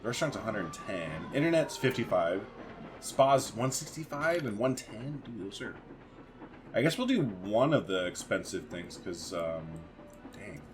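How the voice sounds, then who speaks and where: A man's voice: fairly low pitch, big pitch swings, moderate pace. A man giving casual let's-play commentary as a gaming video host, thinking aloud in informal, slangy language.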